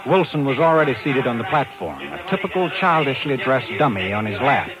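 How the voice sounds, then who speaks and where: An actor playing a brash, hard-boiled talent agent and narrator in a 1950s radio drama. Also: male voice, mid-range voice, expressive, fairly quick, lightly acted, poor audio. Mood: wry, reflective. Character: brash, persistent male New York talent agent.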